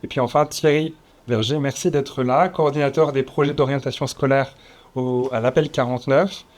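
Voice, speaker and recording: Measured man moderating a round table. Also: medium pitch, fairly even intonation. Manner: measured, slightly formal, with occasional fillers. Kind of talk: formal event introduction. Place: conference room with audience.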